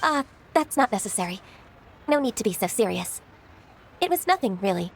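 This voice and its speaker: A woman playing a gentle, dutiful, ageless secretary, polite and formal, in an anime video game. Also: mid-range voice, big pitch swings, normal speed, gravelly, a little hiss or hum. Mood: modest.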